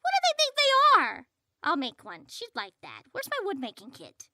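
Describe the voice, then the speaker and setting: A woman voicing a small green male spider creature, fretful, morbid and squeaky, in an audio comedy. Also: wildly swooping pitch, normal speed, bright, forceful tone, exaggerated voice. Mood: morbidly planning. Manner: melodramatic, stumbles over long words. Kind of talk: audio comedy cartoon acting.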